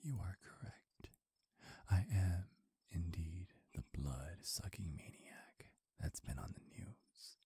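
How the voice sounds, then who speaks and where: Man acting as a seductive vampire, ASMR roleplay, dark mellow tone, very husky and breathy, intimate whispers, soothing menace. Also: sits low, no echo, full character voice. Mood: possessive, hypnotic. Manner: slow, coaxing, softly menacing. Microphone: close microphone.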